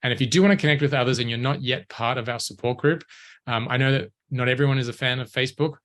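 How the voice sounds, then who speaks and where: A man hosting a webinar, introducing the speakers in a friendly but halting way with many "um" fillers. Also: mid-range voice, a bit bright. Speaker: webinar host and director of operations.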